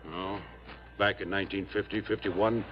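A man's voice: low voice, steady tone, normal speed, close to an everyday voice. An actor playing a middle-aged miner mourning his partner in an old radio drama, emotional and folksy, repeating himself. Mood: proud, wistful. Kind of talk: 1950s-60s radio drama acting. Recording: muffled, noisy vintage radio recording.

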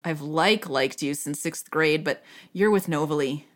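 A woman's voice: low voice, a little slow. A woman voicing a fierce, hot-tempered preteen girl in a dramatized horror-comedy story. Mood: tender, resigned. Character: fierce, wrathful preteen girl with a secret crush.

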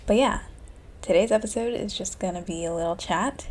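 A woman in her twenties hosting a solo podcast, talking low and hushed in a candid, profane casual chat from a room in a small house. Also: a little fast. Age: 20s. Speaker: podcast host. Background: faint classical music.

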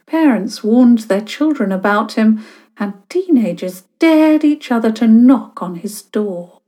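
A woman's voice: a little high, animated, measured pace, dark tone, voice pushed up. A woman voicing a dramatic village woman who tells a ghost story with theatrical flourish.

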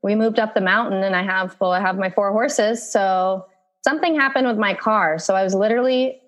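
A woman who grew up in Virginia, a guest on a casual podcast. Her voice sits at an average pitch. She tells her stories in a friendly, easygoing way and laughs often. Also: fairly quick. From Virginia, USA. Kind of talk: casual interview chat.

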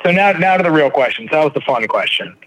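A man hosting a casual podcast interview, halting and repeating words with many ums and likes. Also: a little high, lively intonation, a bit bright, no room sound, low-quality recording. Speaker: podcast host.

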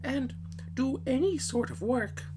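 A man voicing an earnest young gentlewoman in a storybook, with a theatrical, pleading delivery. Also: very high voice, expressive, a little slow, background noise, voice pushed up. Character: earnest young gentlewoman, a fairy tale daughter.

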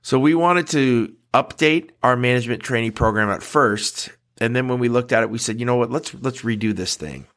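A man's voice: mid-range voice, moderate pace, few pauses, fairly bright tone. Male podcast host with a head cold, nasal, chatty, lots of "uh"s and restarts. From United States. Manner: conversational, frequent fillers and restarts. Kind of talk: casual podcast talk.

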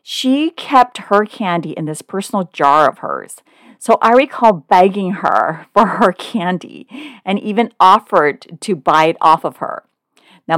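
Chatty woman podcast host, lively pitch. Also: no room sound. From Oakland, California. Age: 40s. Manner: upbeat, chatty and personal. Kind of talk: scripted podcast monologue.

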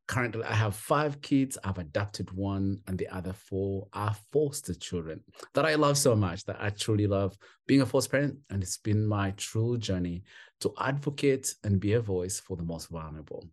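A man's voice: fairly low pitch, animated, normal speed. A man from Uganda, a guest on a podcast, telling his life story in an emphatic, heartfelt way. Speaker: guest, foster parent. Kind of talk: interview storytelling.

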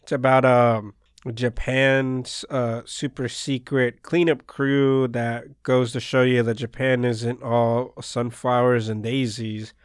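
A man whose first language is Spanish co-hosts a relaxed anime podcast in English. He recaps episodes in a loose, wandering way. His mid-pitched voice is recorded close and dry. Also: takes it slowly, clean tone, dry recording. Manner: laid-back, rambling plot recaps. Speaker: podcast co-host. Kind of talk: casual anime discussion.

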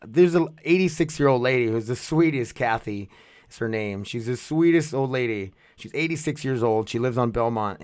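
A man's voice: medium pitch, dry recording, studio quality. A young man, rambling casually to his viewers, often restarting and laughing at himself. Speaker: vlogger.

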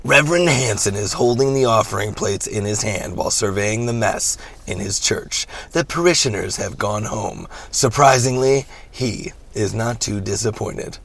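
A man's voice: on the low side, normal speed, a bit bright, slight background noise. A man playing a dramatic movie narrator, reading scripted stage directions. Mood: measured drama.

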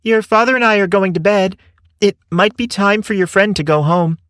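A man's voice: sits high, normal speed, a bit bright, voice pushed up. Man voicing a pleasant but firm calling mother. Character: Sam's mother, pleasant but firm middle-aged woman.

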